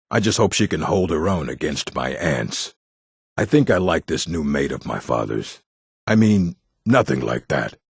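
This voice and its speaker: An actor playing a gruff adult male orc from a fantasy stronghold in a video game, with a gravelly, husky voice and a blunt, weighty delivery. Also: very deep voice, no room sound, studio quality, full character voice.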